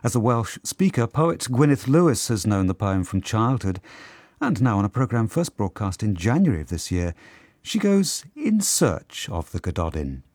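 Lively low-voiced radio announcer. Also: male voice, normal speed, no room sound. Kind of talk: broadcast continuity announcing.

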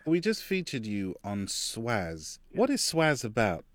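A man's voice: medium pitch, wildly swooping pitch, takes it slowly, no room sound. A male radio presenter introducing music and interviewing a guest in a friendly, chatty manner.

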